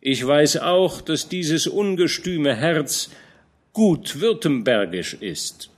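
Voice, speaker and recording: A man voicing a shrewd, fatherly older field captain who speaks in a knowing, admonishing way, with lively pitch. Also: slow, full character voice.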